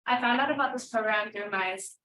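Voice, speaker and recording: Quiet teen girl from Guam, echoey hall. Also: fairly high pitch, fairly quick. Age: teens. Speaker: student. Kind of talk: self-introduction. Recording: echoey room, distant mic.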